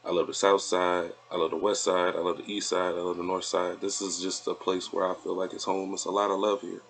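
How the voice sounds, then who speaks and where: A man's voice: sits low, flat delivery, a little fast, vocal fry. A man, talking casually and laid-back as an interview guest, rambling a little. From Flint, Michigan, United States. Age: 20s. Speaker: interview guest, event promoter.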